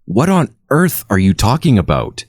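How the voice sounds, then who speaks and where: Male narrator as irritated young woman, curt, no-nonsense, storybook character, animated intonation, dry recording. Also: mid-range voice, normal speed, breathy edge, no room sound, pitched above the performer's own voice. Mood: baffled. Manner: curt, snappish, indignant.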